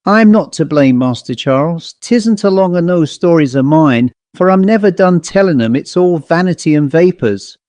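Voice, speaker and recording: A man reading aloud puts on an old male servant, superstitious and jumpy, with thick country dialect and a raised, anxious pitch. It is a dry, close recording with no room sound. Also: expressive, moderate pace, hardly any pauses, darkish tone, no echo, natural voice. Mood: defensive. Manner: broad country dialect speech. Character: old rustic male butler, fearful and superstitious.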